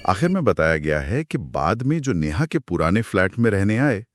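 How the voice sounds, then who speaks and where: A man co-hosting a podcast, retelling a horror story in a dramatic, suspenseful way with pauses. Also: fairly low pitch, very animated, no room sound, clean studio sound, soft background music. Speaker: podcast co-host.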